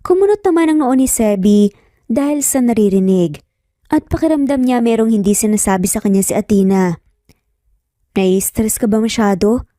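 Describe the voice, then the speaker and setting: A woman's voice: on the high side, expressive, brisk, warm tone, no room sound, studio quality. A woman with a Filipino accent reading scripted romance story narration.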